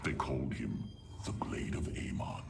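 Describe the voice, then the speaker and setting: An actor playing a ruthless, cruel alien warlord in a video game, with gravelly, slow, theatrical menace. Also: male voice, whispered, close to an everyday voice. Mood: dark relish.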